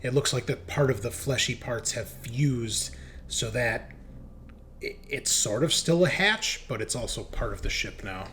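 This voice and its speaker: A man narrating a tabletop roleplaying game as game master, describing scenes in a halting, improvised way. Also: medium pitch, expressive, fairly bright tone, a little hiss or hum.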